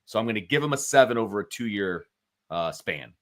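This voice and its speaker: A man's voice: lowish voice, expressive, a little fast. An American man co-hosting a sports talk show, giving casual analysis and informally talking through his reasoning.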